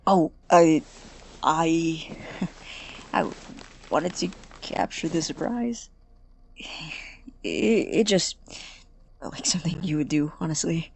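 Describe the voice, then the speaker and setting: A man acting in an audio drama as an awkward, earnest, apologetic college student who stammers and speaks softly. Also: a little high, slow pace, long pauses, a little hiss or hum. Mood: sheepish. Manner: hesitant, stammering, soft and breathy when sincere.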